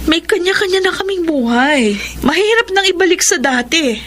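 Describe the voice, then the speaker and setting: An actress playing a grown Filipina daughter in a radio drama, apologetic yet unyielding, with a Filipino accent. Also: female voice, very high-pitched, expressive, normal speed, a bit bright. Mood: defensive, resigned. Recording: faint background noise.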